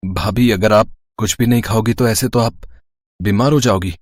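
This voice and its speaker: A man's voice: lowish voice, normal speed, a little mellow, no echo, clean studio sound, natural voice. A man playing a playful, sweet-talking young brother-in-law in a family storybook drama. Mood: coaxing. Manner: playful, coaxing.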